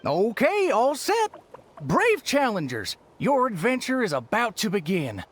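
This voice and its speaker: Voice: mid-range voice, wildly swooping pitch, measured pace, a little hiss or hum. A performer voicing a cheery, theatrical event host welcoming adventurers in a game cutscene.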